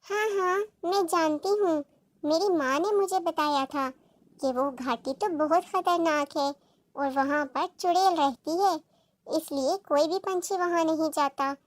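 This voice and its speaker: Woman voicing a high-pitched innocent little bird. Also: unhurried, no echo. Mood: eager, hungry. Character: young, innocent, curious little female bird. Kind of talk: Hindi-Urdu cartoon dialogue.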